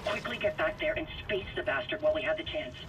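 A woman's voice: low voice, lively intonation, quick pace, heavy background noise. A woman acting an earnest, worn-down scientist survivor in dramatic video game style. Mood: focused. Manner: earnest, urgent. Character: devout, worn-down female scientist survivor aboard a doomed spaceship. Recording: distant comms call under loud music.

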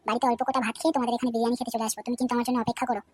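A woman's voice: on the high side, level tone, very fast, slight background noise, natural voice. A woman voicing a cheeky, foul-mouthed young village man in a cartoon, brash and swearing often. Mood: eager. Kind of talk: cartoon voice acting. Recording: loud background music.

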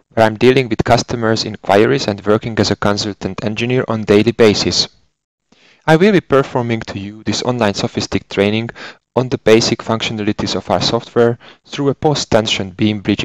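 A man in his forties with a Hungarian accent, narrating an instructional course in a methodical, explanatory way as a software trainer. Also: lowish voice, no room sound, poor audio. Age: 40s. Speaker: software trainer and consultant engineer.

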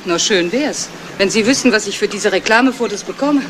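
A woman's voice: mid-range voice, animated, a little fast, lightly acted. A woman playing a frank, flirtatious, hard-up photographer, chatty and wry, in 1970s radio crime drama style. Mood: flirty, playful. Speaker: actress. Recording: noisy old 1970s radio play recording.

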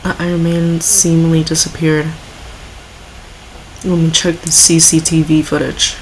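A woman's voice: low-pitched, flat delivery, unhurried, slight background noise, rough recording. A woman giving casual, offhand reaction commentary and reading text aloud as a video host.

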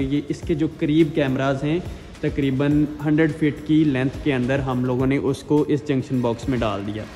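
Young man explaining a site, echoey hall. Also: mid-range voice. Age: young adult. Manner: steady explanatory tone with frequent hesitation fillers. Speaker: security installer and channel host. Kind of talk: on-site technical walkthrough. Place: large empty mall interior under construction, indoors. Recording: roomy echo from far off, music behind.

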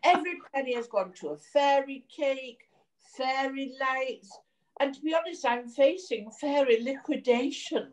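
A woman's voice: high-pitched, expressive, unhurried, distant mic, low-quality recording. A woman playing a wryly apologetic, kindly good fairy in a pantomime.

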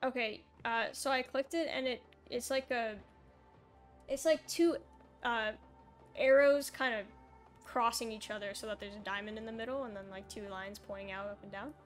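A woman's voice: fairly high pitch, moderate pace, faint music behind. A young woman chatting casually as she plays a co-op puzzle game, thinking aloud in a hesitant, descriptive way. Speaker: gamer playing a co-op puzzle game. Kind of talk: casual gameplay chat.

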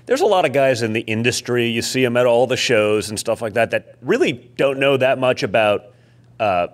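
Mid-pitched man, blunt casual talker. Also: lively intonation, moderate pace. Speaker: podcast co-host. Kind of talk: casual chat.

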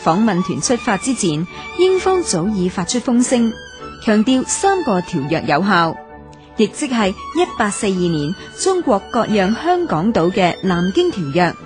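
A woman giving a measured, formal scripted narration of history for a radio documentary. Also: medium pitch, very animated. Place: radio studio. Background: background music.

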